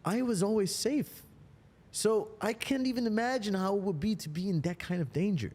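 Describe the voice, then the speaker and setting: A man's voice: sits high, moderate pace, a little mellow. Fired-up male host with long reverb. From the Netherlands. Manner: emphatic and fired up, swears for emphasis. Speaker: podcast host. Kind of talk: hyped motivational podcast chat.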